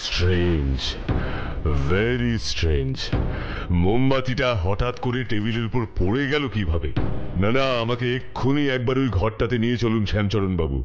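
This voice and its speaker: A man voicing a grave, inquisitive superhero detective in a cartoon, speaking in a deliberate, dramatic, questioning way. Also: fairly low pitch, expressive, muffled recording, background noise, lightly acted. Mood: probing. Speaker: detective hero of the show. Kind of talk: cartoon voice acting. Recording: music behind the voice.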